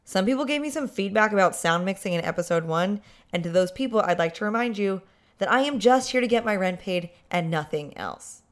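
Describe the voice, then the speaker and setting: A woman's voice: mid-range voice, animated, brisk. A woman hosting a podcast, narrating a story in a dry, sardonic way.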